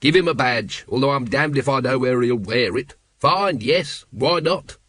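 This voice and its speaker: Audiobook role: world-weary captain, performed by male narrator, sarcastic, snappish, animated, dry studio sound. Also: medium pitch, moderate pace, dry recording. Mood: exasperated, sarcastic. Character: exasperated, sardonic middle-aged male watch captain.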